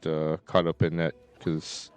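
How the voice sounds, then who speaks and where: A man live streaming and chatting casually to viewers, halting and often repeating his phrases. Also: a bass voice, monotone, a little mellow, clean tone.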